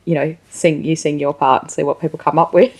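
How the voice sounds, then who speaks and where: A woman's voice: sits low, fairly even intonation, fairly quick, darkish tone, husky. A woman hosting a conversational interview, speaking informally and thinking aloud as she goes. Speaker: interviewer, show host.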